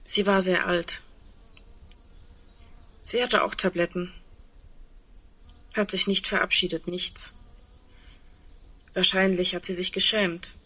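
An actress playing a lonely, sardonic woman awaiting the end of the world in an intimate radio play, in a breathy, reflective, wry way. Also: on the low side, little pitch movement, takes it slowly, long pauses, sharp tone, full character voice. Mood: subdued.

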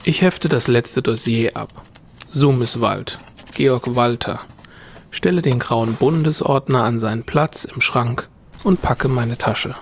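A man playing a weary, solitary bureaucrat who narrates his own life in a radio play, in measured, introspective, terse phrases. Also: mid-range voice, slight background noise. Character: weary, solitary male bureaucrat with quiet grief. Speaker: narrator and lead actor in an audio drama.

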